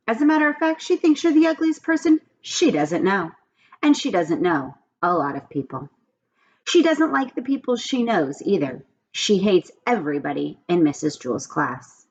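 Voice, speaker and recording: A woman's voice: medium pitch, slightly rough, dull audio. A woman reading a children's storybook aloud, expressively and with asides, speaking straight to young listeners.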